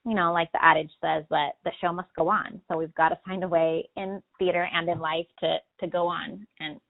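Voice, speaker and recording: A woman's voice: lowish voice, level tone, normal speed, vocal fry. An American woman, a drama teacher around her forties, giving earnest interview answers over a muffled phone connection. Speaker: middle school drama teacher and director. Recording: muffled, band-limited telephone interview.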